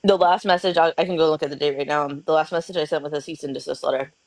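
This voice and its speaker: A lower-voiced woman explains herself in a casual online chat, earnest and a little defensive, with lots of "like" and restarts. The sound is close and dry, with no room echo. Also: steady tone, fast talker. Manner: defensive, earnest, many fillers. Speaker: guest in live stream discussion. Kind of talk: casual live-stream chat.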